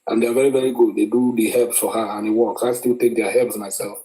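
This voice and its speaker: Nigerian man, mellow voice, halting delivery. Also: fairly low pitch, level tone, low-quality recording. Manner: frequent hesitations and repeated words. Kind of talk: opinionated commentary.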